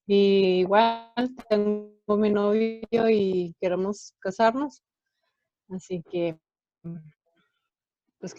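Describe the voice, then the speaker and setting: A woman's voice: medium pitch, darkish tone, no room sound, highs cut off. A woman introducing herself informally as a participant in a class.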